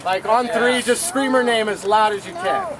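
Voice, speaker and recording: A man's voice: very high-pitched, animated, moderate pace. Joking man firing up a rally crowd. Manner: loose, joking, rambling with restarts. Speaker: rally organizer leading the crowd. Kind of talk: rousing a crowd outdoors. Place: outdoor rally in a city at night. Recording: outdoors with loud crowd noise.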